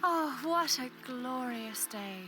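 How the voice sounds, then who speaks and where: High-voiced sweet young sweetheart. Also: female voice, animated, takes it slowly, music in the background. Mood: sweet, admiring. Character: sweet young woman being courted, admiring. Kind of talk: audio drama acting. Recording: noisy outdoor boating ambience.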